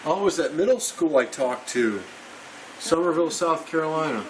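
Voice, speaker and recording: A man's voice: fairly high pitch, animated, moderate pace. A man giving an unscripted, rambling show-and-tell as an amateur radio hobbyist, chatting casually. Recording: noisy room, shortwave radio playing. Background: shortwave radio broadcast.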